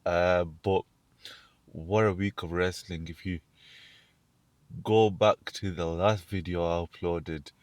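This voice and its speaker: A man with a very low voice, croaky from being ill, talks through a casual solo show. He rambles, stops and restarts his sentences. The sound is dry and close, with no room around it. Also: fairly even intonation, slow pace, long pauses, no echo. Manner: rambling, with frequent restarts and fillers. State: croaky from illness. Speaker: show host. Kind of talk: casual wrestling commentary monologue.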